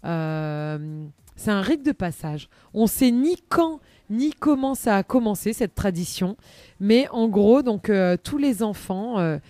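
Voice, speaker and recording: A woman presenting a chatty live radio magazine segment in a bubbly, playful and expressive manner. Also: medium pitch, takes it slowly, no room sound.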